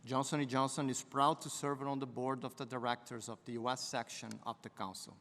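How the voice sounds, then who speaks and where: A man's voice: mid-range voice, steady tone, a little fast, fairly bright tone. Brazilian-accented man testifying in a hall. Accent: Brazilian Portuguese. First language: Portuguese. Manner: formal, reads a statement with some restarts. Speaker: business executive testifying. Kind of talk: prepared congressional testimony. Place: congressional hearing room. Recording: long hall reverb.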